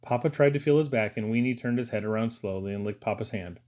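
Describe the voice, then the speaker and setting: A man's voice: medium pitch, fast talker, a little mellow. A man reading a first-person story aloud as an audiobook narrator. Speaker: audiobook reader.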